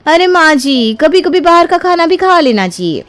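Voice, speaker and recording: A woman's voice: very high voice, sing-song, normal speed, fairly bright tone, pitched above the performer's own voice, heavily acted. A woman voicing a clever young daughter-in-law in a cartoon moral story, sweetly persuasive and emphatic. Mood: bright, urging. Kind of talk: animated Hindi moral story dialogue. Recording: music behind the voice.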